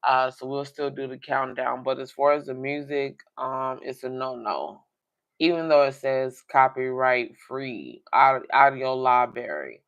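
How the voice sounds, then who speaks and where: A man hosting a coin collecting livestream, rambling in casual chat to his viewers with a slightly raspy voice. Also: mid-range voice, a little slow, a bit bright. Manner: rambling, talks directly to viewers. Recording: dull lo-fi livestream audio.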